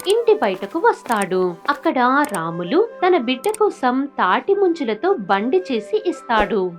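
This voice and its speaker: A woman narrating a scripted moral story expressively, as a storyteller does. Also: high-pitched.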